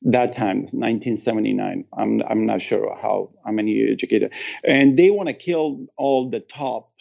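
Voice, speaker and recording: Gravelly Afghan-accented man telling his story. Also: fairly low pitch, normal speed, mellow, highs cut off. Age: 50s. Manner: earnest, emphatic, repeats phrases, non-native grammar. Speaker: interview guest, actor and former military cultural advisor. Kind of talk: personal storytelling in an interview.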